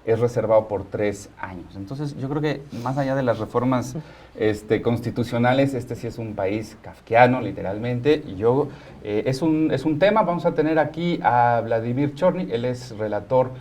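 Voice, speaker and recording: A man's voice: medium pitch, moderate pace, a little mellow. A man with a Mexico City accent hosting a studio talk show, commenting on news informally with a teasing, joking streak.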